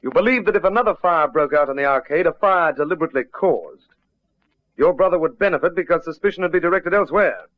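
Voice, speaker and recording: Man as dry, steady detective sergeant, lo-fi. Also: fairly high pitch, no room sound, natural voice. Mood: pressing. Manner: measured, pressing, dry. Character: steady, dryly confident male police detective sergeant. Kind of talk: old radio drama acting. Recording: dry, dull lo-fi old radio recording.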